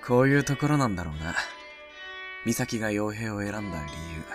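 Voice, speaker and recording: A man playing an earnest, wistful high school boy in a youth romance voice drama. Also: lively intonation, brisk, lightly acted. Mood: wistful confession. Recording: music behind.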